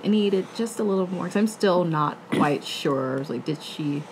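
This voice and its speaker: Lower-voiced woman discussing a book casually. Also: animated, normal speed, poor audio. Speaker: book club panelist. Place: living room, seated on a couch. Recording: faint room noise.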